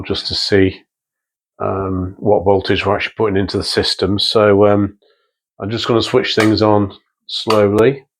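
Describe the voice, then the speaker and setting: A man giving unscripted hands-on workshop commentary in a matter-of-fact, methodical way, with hesitant phrasing. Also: lowish voice. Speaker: presenter of a vintage radio restoration channel. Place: indoor workbench in a small workshop.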